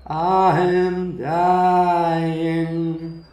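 A man singing a calm, slow ballad in a sustained, unhurried way. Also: fairly high pitch. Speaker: channel host. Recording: very echoey, distant from the mic.

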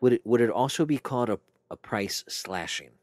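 A man making a prank phone call with deliberate, creepy insinuation. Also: mid-range voice, lively intonation, a little mellow, dry recording. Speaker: prank caller. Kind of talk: prank phone call in character as a film slasher.

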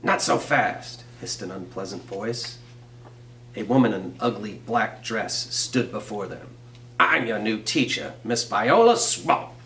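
A man's voice: on the low side, lively intonation, unhurried, fairly bright tone. A man in his forties reading a children's picture book aloud. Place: school office with bookshelves. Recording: roomy, distant mic, faint hum.

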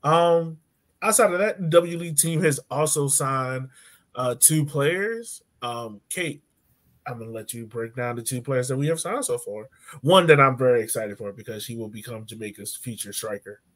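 A man's voice: mid-range voice, moderate pace. A man in his thirties hosting a sports podcast from home in a casual, joking style. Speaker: podcast host. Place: room at home, indoors.

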